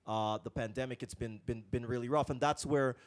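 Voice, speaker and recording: A man's voice: medium pitch, steady tone, brisk. A man from Guyana hosting a casual podcast interview, thinking aloud with many fillers and restarts. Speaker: podcast host. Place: lives in Colombia.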